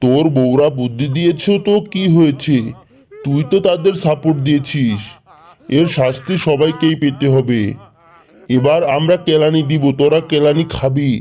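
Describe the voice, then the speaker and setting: A man's voice: fairly high pitch, moderate pace, warm tone, natural voice. A man voicing a blustering, aggrieved tiger uncle in a children's cartoon, exclaiming and scolding theatrically. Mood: angry, accusing. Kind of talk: Bengali children's cartoon voice acting. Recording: music behind the voice.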